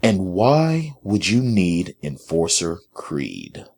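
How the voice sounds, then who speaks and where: A man's voice: mid-range voice, big pitch swings, measured pace, pitched above the performer's own voice. A man voicing a cold, pompous, irritable master who speaks formally and with authority in a story. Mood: icy.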